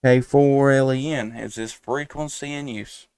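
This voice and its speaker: A man's voice: mid-range voice, steady tone, unhurried. Man on a noisy radio stream. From Tennessee, United States. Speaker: amateur radio operator and live stream host. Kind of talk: casual live stream chat with ham radio calls.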